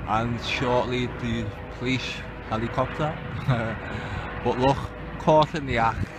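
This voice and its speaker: A man's voice: medium pitch, takes it slowly. A man giving casual, rambling, conspiratorial commentary as an amateur video host. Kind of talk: casual off-the-cuff vlog commentary. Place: outdoors in the city. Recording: very noisy outdoor recording, wind, traffic. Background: loud wind and vehicle noise.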